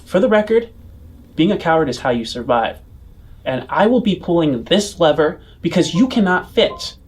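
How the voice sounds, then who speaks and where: A man's voice: a little high, expressive, normal speed, breathy edge, voice pushed up. A man voicing a whiny, scrawny, cowardly companion in a tabletop game, complaining defensively. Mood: defiant.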